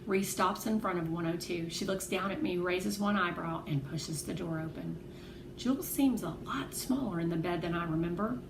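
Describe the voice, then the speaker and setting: Expressive woman reading aloud, echoey room. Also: on the low side, normal speed. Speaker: read-aloud host. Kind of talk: expressive narration of a children's novel. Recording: echoey room with clear background noise.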